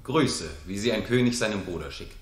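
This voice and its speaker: An actor as an earnest young prince, courtly and polite. His lowish voice echoes in a roomy 1960s German radio play recording. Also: expressive, fairly quick, close to an everyday voice.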